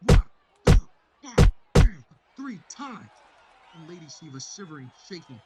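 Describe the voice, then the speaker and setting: A man voicing an excited fight announcer, dramatic play-by-play with emphatic bursts of volume. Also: sits high, a little slow, loud music behind the voice, voice pushed up.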